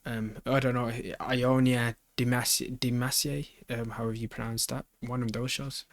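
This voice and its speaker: A man's voice: mid-range voice, little pitch movement, normal speed, no room sound. A man hosting a reaction video, thinking aloud in a casual, slangy way and often repeating his phrases as he rambles.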